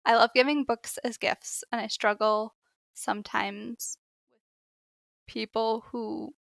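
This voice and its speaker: Gravelly, bright-voiced woman chatting books. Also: on the high side, slow, dry recording, clean studio sound. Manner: chatty, animated storytelling. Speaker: podcast co-host. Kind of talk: casual book chat.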